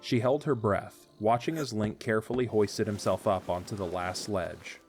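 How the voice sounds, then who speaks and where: A man narrating an audio drama in a measured storytelling delivery. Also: lowish voice, soft background music.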